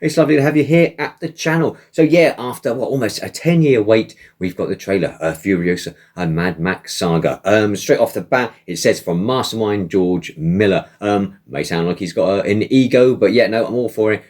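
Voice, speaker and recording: A man with a hoarse, croaky voice, chatting casually as host with lots of ums and uhs. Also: fairly low pitch, moderate pace, hardly any pauses, darkish tone. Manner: many ums and uhs, rambling. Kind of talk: casual chat.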